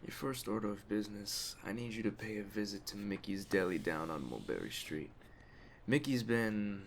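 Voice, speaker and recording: A mafia Don, played by a man, speaks very low with a heavy gravelly creak. He is a courteous, measured crime boss with quiet menace, talking straight to the listener in an ASMR roleplay. Storm ambience runs faintly behind him. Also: expressive, slight background noise. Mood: businesslike, welcoming.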